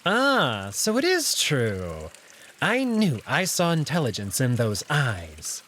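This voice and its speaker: Man voicing a sly, needling jackal noble, swooping pitch. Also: wildly swooping pitch, slow, a bit bright, a little hiss or hum, exaggerated voice. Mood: smug, taunting. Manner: smooth-talking, mock-polite, taunting. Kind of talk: visual novel character voice.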